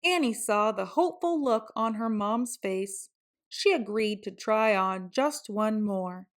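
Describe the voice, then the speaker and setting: A woman's voice: mid-range voice, expressive, slow pace. A woman reading a children's picture book aloud as a storyteller. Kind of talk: scripted children's story narration.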